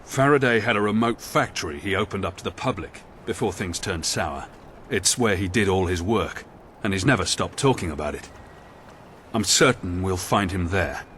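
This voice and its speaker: An actor playing a grim, dutiful military commander in a video game, speaking in an earnest, formal, authoritative way. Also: male voice, sits low, animated, normal speed, fairly bright tone, a little hiss or hum. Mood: reflective. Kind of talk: video game cutscene acting.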